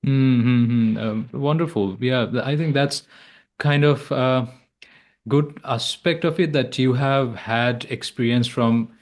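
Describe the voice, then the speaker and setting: A man's voice: medium pitch, takes it slowly. A man hosting a podcast interview, asking long questions and answering replies with encouraging words like wonderful. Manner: encouraging, says "wonderful" often. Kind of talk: conversational interview.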